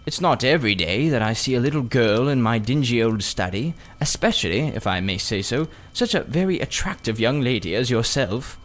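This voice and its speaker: A man voicing a sly old gentleman magician in a storybook, with oily, cunning, drawn-out politeness and false kindness. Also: mid-range voice, animated, close to an everyday voice. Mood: falsely kindly. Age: older. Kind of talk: children's storybook character reading.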